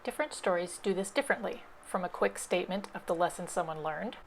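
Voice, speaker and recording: A woman's voice: lowish voice, animated, fast talker, gravelly, faint music behind. A woman library presenter giving scripted instructional narration, explaining things step by step.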